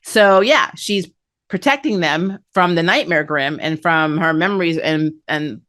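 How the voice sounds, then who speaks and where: Woman doing a chatty recap review, kinda low voice, casual and conversational. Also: unhurried, fairly bright tone. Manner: chatty, conversational, acts out characters' lines. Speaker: anime review channel host. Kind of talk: casual episode recap and commentary.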